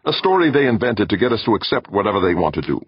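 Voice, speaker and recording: Man acting a suspicious, accusing male doubter, pitched up. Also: animated, quick pace, lo-fi sound, close to an everyday voice. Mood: insistent, scornful. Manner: insistent, accusatory. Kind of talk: audiobook character voice.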